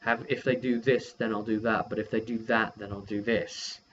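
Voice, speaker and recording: A man giving a casual, rambling recap as a game master and video host, halting and often correcting himself mid-sentence. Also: lowish voice, flat delivery, fairly bright tone. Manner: halting, self-correcting delivery. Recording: dull lo-fi sound with faint noise.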